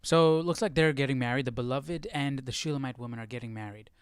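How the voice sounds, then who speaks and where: A man's voice: medium pitch, quick pace, faint music behind. A man hosting a casual live study session, thinking aloud in a tentative, questioning way. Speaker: livestream Bible study host.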